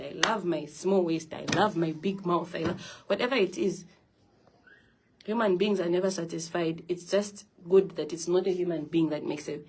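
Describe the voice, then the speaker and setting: A woman's voice: lowish voice, a little mellow, a little hiss or hum, poor audio. A young woman hosting a phone live stream, talking earnestly and encouragingly to her viewers about self-love and positivity. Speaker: livestream host.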